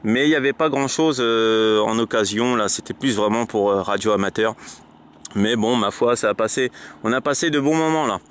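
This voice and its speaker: A man's voice: mid-range voice, normal speed, slight background noise. A man chatting casually as a radio operator, in a hesitant, repetitive way. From France. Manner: hesitant, repetitive verbal tics. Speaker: amateur radio operator filming his video. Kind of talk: casual radio contact chat. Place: outdoors on open high ground.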